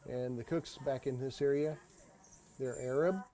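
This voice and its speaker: Mellow-voiced man narrating a travel video. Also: medium pitch, unhurried, slight background noise. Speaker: tour narrator. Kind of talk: unscripted travel video voice-over.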